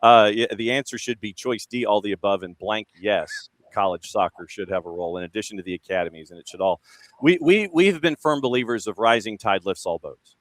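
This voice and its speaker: Low-voiced American man hosting sports interview. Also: a little fast. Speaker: sports show host.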